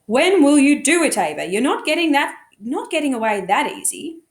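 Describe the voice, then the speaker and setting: A woman voicing a brisk, stubborn, motherly Victorian housekeeper in a storybook, chiding and emphatic. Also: high voice, very animated, pitched above the performer's own voice. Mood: firm, scolding.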